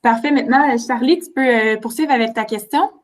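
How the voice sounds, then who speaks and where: A woman's voice: fairly high pitch, brisk. A woman moderating a video call, briefly calling on students to ask their questions. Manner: brief, directive.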